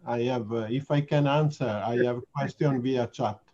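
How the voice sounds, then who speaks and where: A man with an Italian accent answering webinar questions in earnest, deliberate English. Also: medium pitch, steady tone, warm tone. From Italy. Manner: earnest, deliberate, with non-native phrasing. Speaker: invited lecturer answering questions. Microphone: video call. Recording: dull lo-fi call audio.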